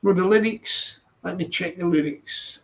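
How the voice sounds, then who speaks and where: Gravelly high-voiced man, rambling stream chat. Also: unhurried. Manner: rambling, repetitive, reads viewer comments aloud. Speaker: live streamer. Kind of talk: casual live stream chat. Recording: dull lo-fi stream audio.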